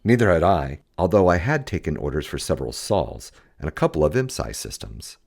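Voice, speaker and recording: A man reading a first-person history as scripted audiobook narration, in an expressive storytelling manner. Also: rumbling low, brisk, vocal fry, breathy edge. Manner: expressive storytelling, acts out quoted dialogue.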